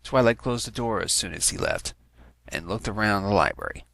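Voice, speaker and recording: A man's voice: fairly low pitch, normal speed, creaky, fairly bright tone, no room sound, clean studio sound. A man reading fan fiction aloud as a scripted story narration.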